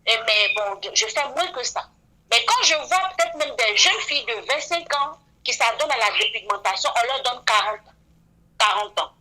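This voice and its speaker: Earnest woman, 40s, bright higher voice, radio guest who leans on fillers. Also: expressive, moderate pace, rough recording. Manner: earnest, frequent "voilà" fillers. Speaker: beautician guest.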